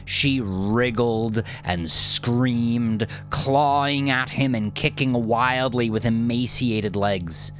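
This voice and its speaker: A man narrating a dark audiobook story in a grave, suspenseful, deliberate manner. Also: medium pitch, slight background noise. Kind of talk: dramatic scripted audiobook narration. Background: music under the voice.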